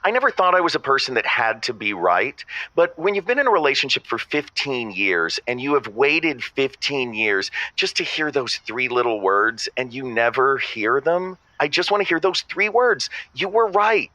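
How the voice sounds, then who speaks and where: A man's voice: mid-range voice, very animated, normal speed, hardly any pauses, pushed and bright, no echo, low-quality recording. An actor playing an exasperated, pedantic man who calls a radio show, fussy and insistent, repeating himself in a comedic audio drama. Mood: exasperated, indignant. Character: exasperated, pedantic man phoning in about his partner.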